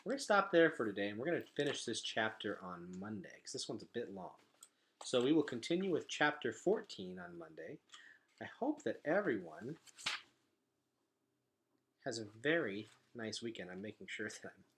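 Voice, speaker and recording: A man's voice: medium pitch, sing-song, normal speed, a bit bright, a little hiss or hum. A man reading a storybook aloud expressively, like a teacher.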